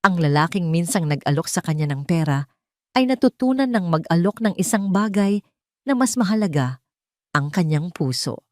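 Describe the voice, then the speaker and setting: A woman with a Filipino accent narrating a dramatic scripted story in measured, emotive phrases with pauses. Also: lowish voice, expressive, darkish tone, dry recording, studio quality.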